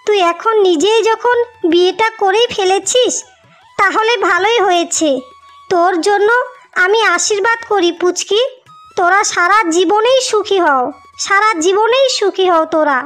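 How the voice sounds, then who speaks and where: A woman's voice: extremely high pitch, fairly bright tone. A woman voicing a wronged, self-sacrificing elder sister in a melodramatic cartoon fairy tale, plaintive and lamenting. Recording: music behind the voice.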